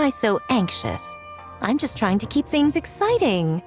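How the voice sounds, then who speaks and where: A woman's voice: fairly high pitch, wildly swooping pitch, measured pace. A woman playing a sly, self-assured shrine priestess in a video game, speaking in a teasing, poised way. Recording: faint music behind.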